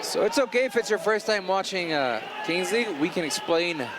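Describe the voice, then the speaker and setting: A man's voice: high-pitched, animated, a little fast. A man from Mexico co-commentating on football, upbeat and enthusiastic as he answers viewer questions and explains the rules. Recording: loud crowd and stadium noise.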